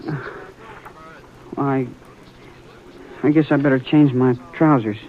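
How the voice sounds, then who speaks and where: An actor playing a young city greenhorn in a 1950s radio western, who talks in a halting, hesitant way. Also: male voice, medium pitch, steady tone, a little hiss or hum, lightly acted. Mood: shaken. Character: young city-bred greenhorn, shaken but stubborn. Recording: dull old radio broadcast.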